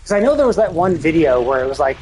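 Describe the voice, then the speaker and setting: A man's voice: fairly high pitch, big pitch swings, fast talker, a little hiss or hum, rough recording. A man streaming video games and chatting casually, thinking aloud in a rambling way. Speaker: video game livestreamer.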